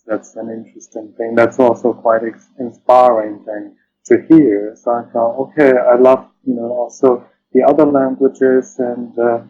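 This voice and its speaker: Halting, thoughtful man, dull lo-fi recording. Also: mid-range voice, little pitch movement, dark tone, husky. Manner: halting, thoughtful, often says "you know". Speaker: interview guest, literary translator. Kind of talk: reflective interview conversation.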